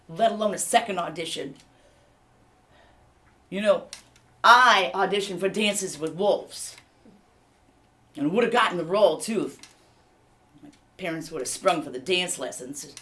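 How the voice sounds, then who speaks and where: A woman's voice: mid-range voice, normal speed, pauses often, fairly bright tone, close to an everyday voice. A woman playing a jealous big bad wolf actor who complains sardonically in a comedy mock interview. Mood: bitter, sardonic.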